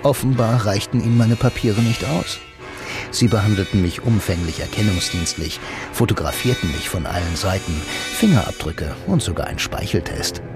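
Low, deadpan noir narrator played by a man. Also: moderate pace, close to an everyday voice. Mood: dry, detached. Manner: deadpan, dryly ironic. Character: blind, laconic male bank employee narrating his noir story. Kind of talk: scripted first-person radio play narration. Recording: music behind the voice.

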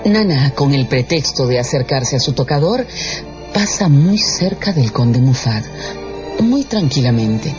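A man's voice: medium pitch, sing-song, unhurried. Male radio drama narrator over music. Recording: dull lo-fi broadcast with music behind.

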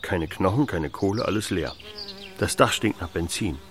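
An actor playing a gruff village policeman in an audio drama, clipped and officious. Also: sits low, expressive, moderate pace, fairly bright tone, slight background noise. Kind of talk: German audio drama acting.

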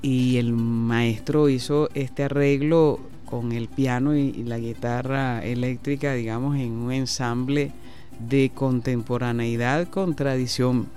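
A man hosting a radio show from a studio, explaining at length and pausing often on fillers. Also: mid-range voice, steady tone, a little mellow, soft background music. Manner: slow, explanatory, halting with fillers. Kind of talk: radio talk and interview.

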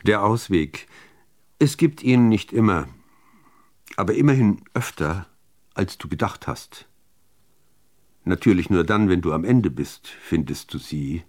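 Slow, expressive man reciting poetry. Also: low voice. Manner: measured, deliberate phrasing with expressive emphasis. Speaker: reader of poems.

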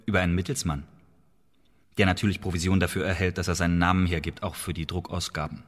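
A man acting in a radio play as an articulate, loyal imprisoned English agent and playwright, speaking in measured, formal, persuasive phrases. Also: deep voice, steady tone. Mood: quiet, wistful.

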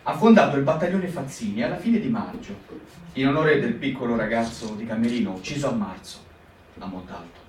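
A man's voice: mid-range voice, animated, fairly quick, darkish tone, a little hiss or hum. A man giving a performed literary reading, telling the story expressively and dramatically. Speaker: reader. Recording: roomy live stage, distant mic. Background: guitar music.

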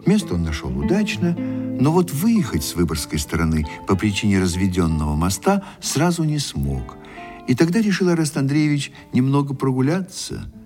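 An actor narrating a period tale for a radio play in an expressive, measured storytelling manner. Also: male voice, mid-range voice, natural voice. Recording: radio drama with faint music behind.

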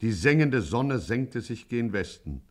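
An actor playing a solemn, portentous narrator of a radio crime satire. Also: male voice, medium pitch, big pitch swings. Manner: deliberate, portentous. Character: solemn, mock-ominous crime story narrator. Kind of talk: scripted 1950s German radio narration.

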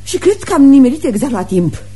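A woman's voice: high-pitched, very animated, warm tone, husky, exaggerated voice. An actress playing a brash, fussy middle-aged mother with haughty airs in a radio theatre production. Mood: fussy, imperious.

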